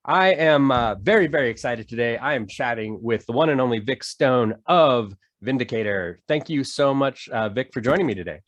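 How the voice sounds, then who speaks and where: A man's voice: mid-range voice, animated, moderate pace, few pauses, fairly bright tone, no room sound. A man hosting a video-call interview, upbeat and friendly, stuffed up from a cold. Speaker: podcast host. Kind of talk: casual interview chat.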